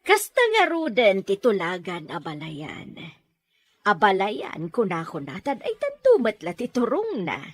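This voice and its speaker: A woman's voice: medium pitch, huge pitch swings, measured pace, slightly rough, no echo, heavily acted. A woman acting a sociable middle-aged mother keen on matchmaking, theatrical and emphatic, in an audio drama. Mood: eager, insistent.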